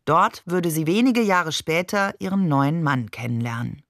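A woman's voice: deep voice, lively intonation, a little slow, fairly bright tone, no room sound, studio quality. A woman reading a literary story as scripted audiobook narration.

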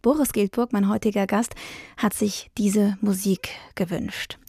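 Woman, dark mellow voice, dry studio-like closeness, conversational music radio host, interview questions. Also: medium pitch, steady tone, unhurried, slightly rough, no room sound.

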